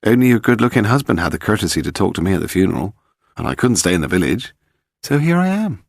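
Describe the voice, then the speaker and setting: Man putting on a brazen young woman, pitched up. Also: big pitch swings, fast, vocal fry, no echo, clean studio sound, heavily acted. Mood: indignant, dramatic. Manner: coy, provocative.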